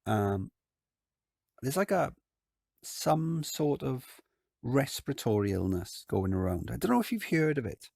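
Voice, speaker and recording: A man with a croaky voice, hosting a casual game commentary and rambling with lots of filler words. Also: medium pitch, big pitch swings, measured pace, pauses often, a little mellow, dry recording, clean studio sound. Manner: rambling, lots of ums and likes. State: croaky voice from illness. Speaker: gaming channel host. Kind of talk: casual let's-play commentary.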